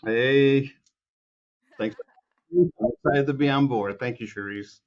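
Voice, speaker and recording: A man's voice: mid-range voice, takes it slowly, muffled recording. A man from Chicago, a composer guest, answering interview questions in a rambling way with many restarts.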